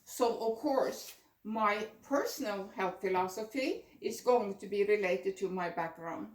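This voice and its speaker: An older woman delivers a prepared talk about her own views. Her phrasing is deliberate and earnest. The sound is distant from the microphone, with clear room echo around her medium-pitched voice. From Norway. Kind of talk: prepared personal presentation.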